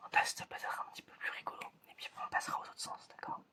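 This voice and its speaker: A person whispering an ASMR roleplay as an examiner, in a breathy, chatty, playful and hesitant way. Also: fast talker. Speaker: ASMR creator playing an examiner giving sense tests.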